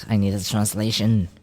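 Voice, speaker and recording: Slow, deep-voiced man. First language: Japanese. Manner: reads game text aloud and translates it, swears casually. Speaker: gaming streamer. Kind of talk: casual game playthrough commentary.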